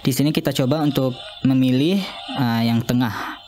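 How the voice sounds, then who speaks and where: A young man narrating an informal software demo as a student, speaking haltingly and repeating himself. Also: mid-range voice, a little hiss or hum. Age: young adult. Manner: halting, repetitive. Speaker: student demonstrating own app.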